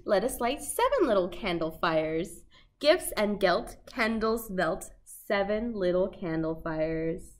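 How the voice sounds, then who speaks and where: A young woman hosting a children's storytime, reading a picture book aloud in simple, deliberate phrases for toddlers. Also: mid-range voice. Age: young adult. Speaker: children's library storytime host. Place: small indoor set with fabric backdrop.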